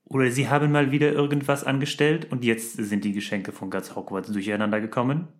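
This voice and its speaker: A man voicing a stern, sneering male professor in a fan audio story, speaking slowly in a hushed, cold way. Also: medium pitch, steady tone, natural voice.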